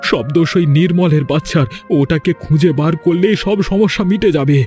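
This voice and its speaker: Man as high-pitched, bullying loyal henchman. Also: normal speed, darkish tone, faint music behind, close to an everyday voice. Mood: reassuring. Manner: vehement, hectoring. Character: loyal, bullying male servant and henchman of a landlord. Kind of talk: cartoon voice acting.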